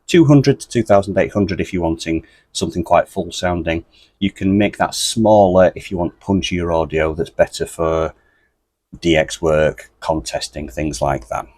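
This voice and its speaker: British guy with a real low bassy voice walking you through radio settings, dry close mic. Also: dry recording. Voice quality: deep, bassy voice. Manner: steady, methodical, explains with fillers. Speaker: ham radio tutorial presenter. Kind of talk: step-by-step technical tutorial.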